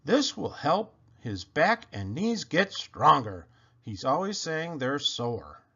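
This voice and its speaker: A man reading a children's picture book aloud in an expressive, playful way with animated emphasis. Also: fairly high pitch, slow pace, husky. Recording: dull, lo-fi sound.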